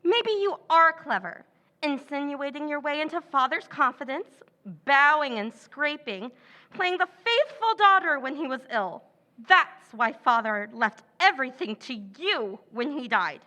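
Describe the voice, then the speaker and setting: A woman's voice: sits high, animated, unhurried, bright, forceful tone, heavily acted. Woman as a cold, scheming sister. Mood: contemptuous. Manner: cold, cutting, sneering. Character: scheming, contemptuous younger sister, adult woman. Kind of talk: old-time radio drama recreation.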